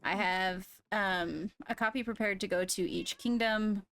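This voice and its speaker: A woman's voice: mid-range voice, measured pace, noisy background. A woman chatting casually with a group while playing a roleplay game. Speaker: Minecraft roleplay player.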